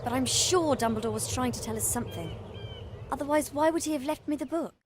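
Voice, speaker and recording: A woman's voice: high voice, quick pace, a little hiss or hum, close to an everyday voice. A young woman with a British accent playing an earnest, exasperated, bookish and bossy teenage witch in a video game cutscene. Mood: earnest, urgent. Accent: British English. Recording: loud background music under voice. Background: music.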